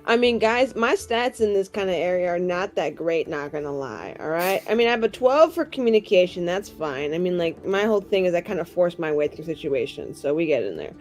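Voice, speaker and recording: A woman in expressive, slangy casual chat about a tabletop game, acting out bits of dialogue as she retells them. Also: on the low side, fairly quick, few pauses. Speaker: tabletop roleplaying game player. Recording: music playing underneath.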